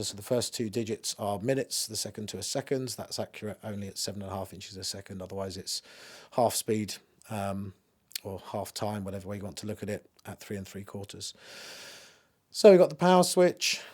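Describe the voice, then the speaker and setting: A man's voice: on the low side, darkish tone. A man giving an informal, matter-of-fact spoken demonstration of audio equipment, explaining as he goes. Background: music played through a tape deck.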